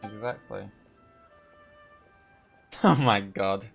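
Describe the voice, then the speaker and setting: Male game streamer, lower-pitched, off-the-cuff and reactive, light music behind him. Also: very slow, vocal fry, slightly rough. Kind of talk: casual live gameplay commentary.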